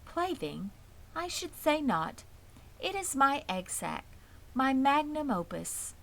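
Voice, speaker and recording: A woman voicing a wise, dignified, ageing female spider in a storybook, gentle, weary and composed, with slightly formal speech. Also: high voice, big pitch swings, slow pace, vocal fry, voice pushed up, exaggerated voice, poor audio. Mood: weak, proud.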